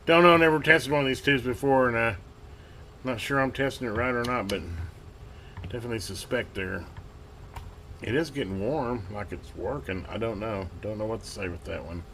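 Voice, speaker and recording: A man giving casual hands-on commentary as a hobbyist host, halting and muttering to himself as he works. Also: mid-range voice, bright, forceful tone, a little hiss or hum. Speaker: vintage radio hobbyist hosting a repair video.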